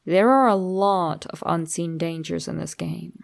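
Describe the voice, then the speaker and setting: A woman reading a scripted horror story straight to the listener in a dramatic, deliberate way. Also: fairly low pitch, gravelly. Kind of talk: scripted creepypasta narration, addressed to the listener.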